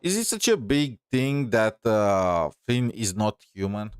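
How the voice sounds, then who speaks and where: Halting, casual male game streamer. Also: lowish voice, very animated, clean tone. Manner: hesitant, halting, thinking aloud. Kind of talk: casual live-stream commentary. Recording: stream with faint game music.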